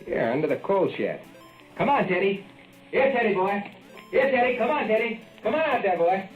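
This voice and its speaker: An actor playing an excitable rural young man who raises a giant fly, in a folksy drawl that swings from hollering to hushed murmurs, in a 1940s radio play. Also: high-pitched, normal speed. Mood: coaxing. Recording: muffled old radio broadcast, faint music.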